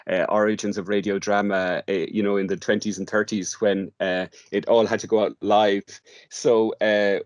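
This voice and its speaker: A man's voice: on the low side, no room sound, studio quality. A man explaining his work in an informal interview, often restarting and repeating words as he goes. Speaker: drama workshop facilitator, interview guest.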